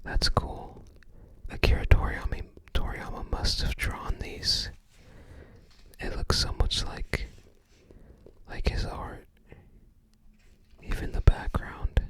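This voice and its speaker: An ASMR creator whispering casual, musing commentary on a game guide, often tentative while recalling details. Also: fairly bright tone. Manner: unhurried, musing, tentative recollection.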